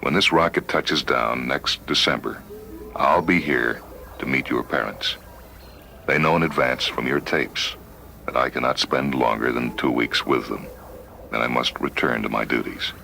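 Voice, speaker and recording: A man voicing a polite, dutiful android double of a spaceman in a dramatised story, speaking in a formal, measured, deferential way. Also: rumbling low, creaky, sharp tone, slight background noise, pitched below the performer's own voice, heavily acted. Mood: methodical. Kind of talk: dramatised short-story reading.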